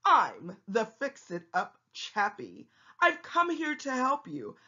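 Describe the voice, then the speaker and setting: A woman voicing a sly, boastful male salesman with smooth sales patter in a rhyming storybook. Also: fairly high pitch, huge pitch swings, slow, pushed and bright, lo-fi sound, heavily acted. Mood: eager, selling. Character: sly, fast-talking male salesman peddling machines. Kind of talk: rhyming storybook read-aloud.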